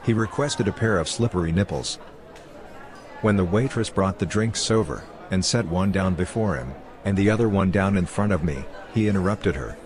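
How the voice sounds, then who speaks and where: A man's voice: deep voice, moderate pace, close to an everyday voice. A man narrating a dramatized detective story in first person as a writer who documents a chief's cases, in a dry, wry manner.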